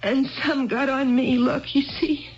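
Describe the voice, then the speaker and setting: An actress playing a distraught, confused wife in a 1970s radio drama, crying and rambling repetitively. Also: female voice, high voice, steady tone, measured pace, lo-fi sound. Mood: frantic, bewildered. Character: distraught, confused wife confessing she killed her husband.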